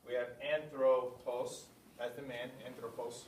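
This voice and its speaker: A man's voice: medium pitch, little pitch movement. A man leads a classroom lesson, thinking aloud as he works through each example. He sounds far from the camera, in a reverberant room with a faint background hum. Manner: thinking aloud, slow deliberate spelling-out of words. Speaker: teacher. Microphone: camera at a distance.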